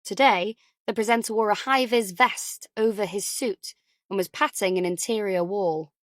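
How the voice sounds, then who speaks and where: A woman's voice: mid-range voice, normal speed, a bit bright, dry recording, clean studio sound. A woman reading a thriller novel as a scripted audiobook narration.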